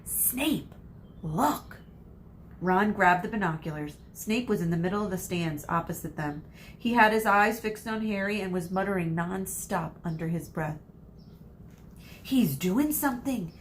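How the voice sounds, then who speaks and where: A woman narrating a children's story expressively, with dramatic emphasis and pauses for suspense. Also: fairly low pitch. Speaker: read-aloud storyteller, teacher. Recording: faint background noise.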